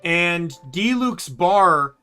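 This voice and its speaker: A man with a bright, slightly high voice talks loosely while he plays a video game in his room. He rambles and trips over his own sentences, and quiet game music plays underneath. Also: lively intonation, extremely slow, clean tone. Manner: rambling, self-correcting. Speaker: streamer. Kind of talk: casual gameplay chat. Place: home room.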